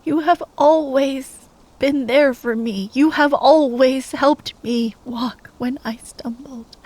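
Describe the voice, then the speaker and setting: A woman playing a grieving, tender young sorceress at a bedside in an intimate ASMR roleplay, speaking in a hushed, halting way that often slips into whispers. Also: high-pitched, low-quality recording. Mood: anguished reproach.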